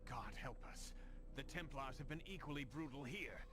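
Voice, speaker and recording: A man's voice: mid-range voice, moderate pace, noisy background, lightly acted. A man acting a suspicious, fiery medieval resistance leader in a dramatic, emphatic video game cutscene style. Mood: grim, wary. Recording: music behind the voice.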